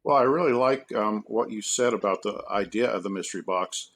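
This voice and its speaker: A man with a somewhat low voice offers commentary in a casual discussion. His delivery is halting, as he thinks aloud and works toward each point. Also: fairly bright tone. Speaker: guest scholar and commentator.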